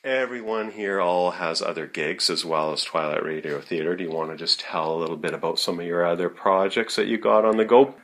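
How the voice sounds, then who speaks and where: A man's voice: low voice, steady tone, a little fast, few pauses, a bit bright. A man asking questions in a casual group interview.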